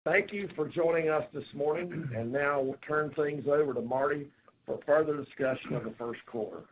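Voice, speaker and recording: Man on a tinny, noisy phone line. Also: a little high. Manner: measured, formal, reading from a statement. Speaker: outgoing company chief executive. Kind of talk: formal prepared remarks on an earnings call.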